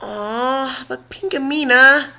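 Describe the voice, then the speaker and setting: A performer voicing a bubbly, hyperactive young female cartoon pony who pouts and wheedles with drawn-out squeals. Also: high voice, gravelly, bright, forceful tone, pitched above the performer's own voice, exaggerated voice. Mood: pouting. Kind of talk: cartoon character voice in fan fiction reading.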